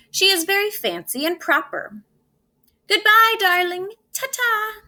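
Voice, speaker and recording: A woman teacher reading a storybook and giving a lesson to children, expressive and speaking straight to them. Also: mid-range voice. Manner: expressive, addressing children directly.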